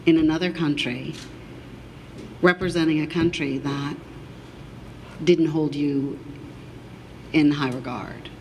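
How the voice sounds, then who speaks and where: An interviewer asking questions in an earnest, measured manner. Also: mid-range voice, darkish tone, a little hiss or hum, poor audio.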